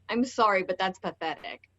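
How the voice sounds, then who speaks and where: A woman's voice: medium pitch, lively intonation, unhurried, fairly bright tone. A woman playing a haughty, scornful young rock-star ex in a table read, on dull lo-fi audio. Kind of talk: amateur screenplay table read.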